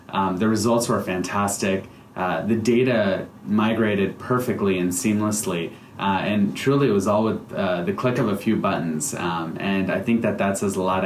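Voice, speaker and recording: Earnest American man with a low voice. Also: a little hiss or hum. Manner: earnest, full of "uh" and "um" fillers. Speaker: company founder giving a customer testimonial. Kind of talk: testimonial to camera, semi-prepared speech. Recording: echoey room.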